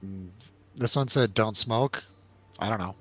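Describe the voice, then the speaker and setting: A man's voice: fairly low pitch, a little slow, fairly bright tone, no echo. A man hosting a casual film review chat, talking in a loose, hesitant, easygoing way over a slightly lo-fi recording. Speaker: host of a film review show.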